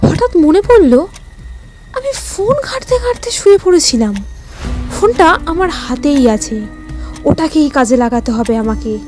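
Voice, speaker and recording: A woman narrating a first-person horror story in a suspenseful, theatrical way with dramatic pauses. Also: high voice, lively intonation, a little mellow. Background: music.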